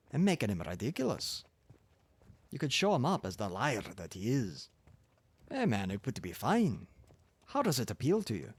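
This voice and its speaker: A man's voice: mid-range voice, husky, full character voice. A man voicing a kindly, wry old newspaper editor with a Scottish accent in an audiobook story.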